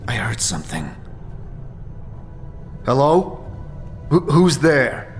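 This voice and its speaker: Guy playing confused hero in audio drama, mid pitch, stammery and wary, bit of music under. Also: sing-song. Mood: alarmed. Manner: halting, stammering. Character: wary, confused male wanderer, the story's hero.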